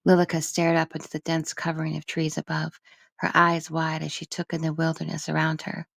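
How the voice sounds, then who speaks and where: A woman reading literary first-person narration for an audiobook in a measured, expressive storytelling manner. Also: sits low, gravelly, no echo. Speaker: audiobook reader.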